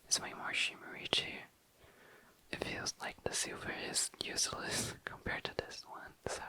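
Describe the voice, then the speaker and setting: An ASMR host whispering in a breathy, unvoiced voice, musing casually and tentatively, close to the mic. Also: moderate pace, pushed and bright, poor audio. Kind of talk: whispered ASMR, casual makeup swatching narration.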